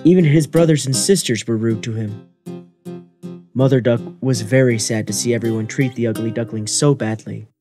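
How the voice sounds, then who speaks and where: A man's voice: mid-range voice, normal speed, over music. A man giving scripted narration of a children's fairy tale.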